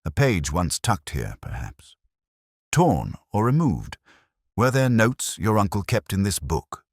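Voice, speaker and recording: A man voicing a probing, measured Victorian detective with formal speech in an audiobook story. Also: fairly low pitch, very animated, no echo, clean studio sound, pitched above the performer's own voice, heavily acted. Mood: quietly inquisitive. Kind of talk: audiobook character dialogue.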